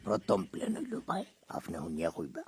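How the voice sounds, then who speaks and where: Man, very low voice, wildly swooping pitch, rambling prank caller. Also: wildly swooping pitch, brisk, creaky, a little mellow, husky. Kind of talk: comic prank phone call.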